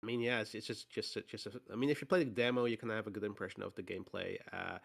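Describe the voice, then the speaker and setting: A man co-hosting a gaming podcast, hoarse from a cold, talking casually with many fillers and restarts. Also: on the low side, steady tone, racing. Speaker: podcast co-host. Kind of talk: casual chat.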